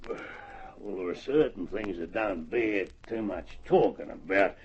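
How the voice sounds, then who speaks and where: A man's voice: low voice, expressive, slow pace, darkish tone, a little hiss or hum. An actor playing a blunt, teasing bush horseman with an Australian accent and folksy country speech in an old radio drama. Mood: guarded, earnest. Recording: muffled old radio transcription recording.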